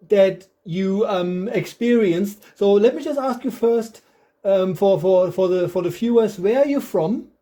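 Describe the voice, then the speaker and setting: A middle-aged man with a German accent hosts a relaxed chat, his voice pitched high but soft and dark in tone. He stops and restarts often, filling gaps with ums. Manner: hesitant, many ums and restarts. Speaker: podcast host. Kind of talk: casual interview chat.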